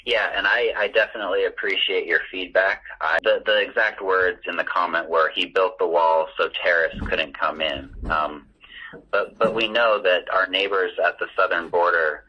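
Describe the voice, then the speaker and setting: A man, a teacher, answering calmly and carefully with many ums. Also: low-pitched, moderate pace, slightly rough. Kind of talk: measured reply in a tense call. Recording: muffled lo-fi recording.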